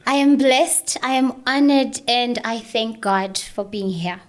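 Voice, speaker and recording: A woman's voice: fairly high pitch, a little slow. A woman from Zambia, telling her life story as an interview guest in an earnest, emphatic way. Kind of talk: personal testimony in conversation.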